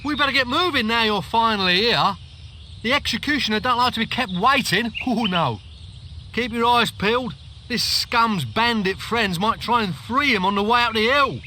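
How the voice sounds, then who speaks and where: Bossy fantasy guard, sardonic, strained and very bright, faint background noise. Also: male voice, extremely high pitch, normal speed, exaggerated voice. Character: bossy, sardonic male guard escorting a prisoner. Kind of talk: fantasy video game acting.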